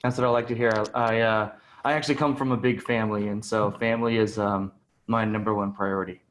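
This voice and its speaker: A man playing a polite, slightly uneasy job applicant in improvised sketch comedy, speaking haltingly. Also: on the low side, fairly even intonation, lightly acted. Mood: eager, polite. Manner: hesitant, halting.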